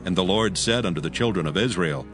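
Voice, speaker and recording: A man's voice: sits low, expressive. Solemn male scripture narrator over music. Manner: solemn, measured, formal. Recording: prominent music behind the voice.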